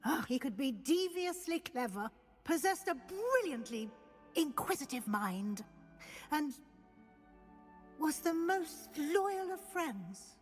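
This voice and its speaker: An actress playing a dignified, kindly middle-aged witch professor, speaking in a measured, earnest, formal way in a video game. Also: high-pitched, sing-song, full character voice. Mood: solemn, proud. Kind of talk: solemn dramatic speech, video game acting. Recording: music behind the voice.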